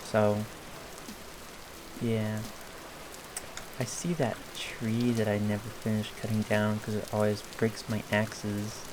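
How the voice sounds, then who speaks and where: A hoarse man giving casual, hesitant commentary over a video game, thinking aloud with frequent pauses and fillers. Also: fairly low pitch, level tone, noisy background. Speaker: gameplay video creator. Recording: clear game music under the voice. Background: game music and rain sounds.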